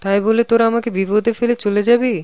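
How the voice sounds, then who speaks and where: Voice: medium pitch, flat delivery, fast, lightly acted. A performer voicing a whiny, gullible young man who complains to his friends in a comic cartoon. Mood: aggrieved. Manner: complaining, aggrieved.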